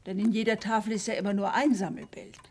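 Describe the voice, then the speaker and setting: An actress playing a gentle, dutiful housewife and mother in a radio drama, speaking patiently. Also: mid-range voice, steady tone, fast, breathy edge. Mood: patient, motherly. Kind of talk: German radio drama acting.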